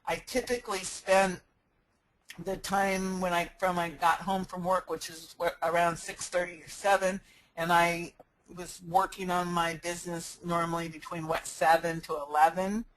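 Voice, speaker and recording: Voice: medium pitch, flat delivery, normal speed, a bit bright, rough recording. Rambling online business coach. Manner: rambling, frequent restarts and fillers. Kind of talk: casual live Q&A talk.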